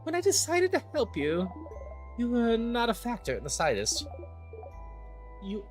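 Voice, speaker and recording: A streamer voicing a playful, teasing star-like creature from a video game, theatrical and cheery, with sing-song, drawn-out words. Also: medium pitch, wildly swooping pitch, faint music behind, pitched above the performer's own voice. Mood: coy.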